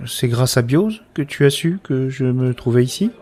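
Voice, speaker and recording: Actor as a principled male sceptic, mid-pitched, deliberate, grave, reproachful, scripted sci-fi radio drama. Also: lively intonation, a little mellow, natural voice. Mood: wounded, passionate. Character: Abel, sceptical passionate man guarding privacy and real feeling. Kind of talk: scripted science-fiction audio drama dialogue.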